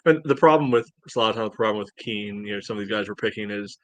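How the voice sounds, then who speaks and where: Male sports podcast co-host, slightly low pitch, measured conversational analysis, very dry recording. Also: no room sound. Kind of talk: casual sports analysis chat.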